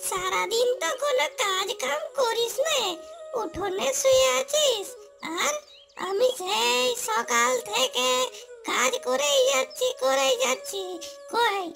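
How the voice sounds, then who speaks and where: A performer voicing a resentful old grandmother in a cartoon, scolding and nagging in a shrill, creaky voice. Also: slow, pushed and bright, soft background music, full character voice. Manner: nagging, complaining. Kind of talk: cartoon voice acting.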